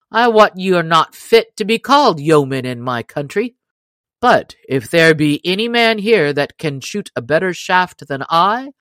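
A woman voicing a proud, surly male stranger who talks in a gruff, loud and boastful way. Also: deep voice, no echo, clean studio sound.

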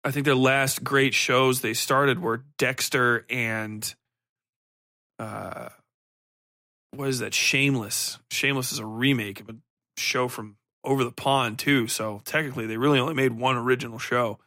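A man's voice: medium pitch, fairly even intonation, unhurried, bright, forceful tone, no room sound, studio quality. A man giving a casual, unscripted podcast review, rambling and correcting himself, with blunt, sweary opinions. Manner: rambling, hesitant, self-correcting. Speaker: podcast host reviewing books and TV.

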